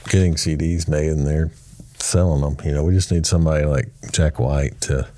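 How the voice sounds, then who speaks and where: A man with a low, mellow voice talks through an interview in a recording studio. His humor is dry and understated, and he pauses and restarts with fillers. The sound is close and dry, with no room echo. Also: fairly even intonation. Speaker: studio producer and engineer. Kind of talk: relaxed interview chat.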